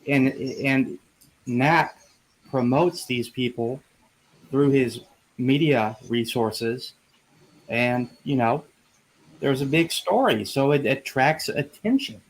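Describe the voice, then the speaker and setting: A man's voice: mid-range voice, takes it slowly, long pauses, rough recording. Hesitant man on a panel. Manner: hesitant, many restarts. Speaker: panel guest. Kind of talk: casual panel chat.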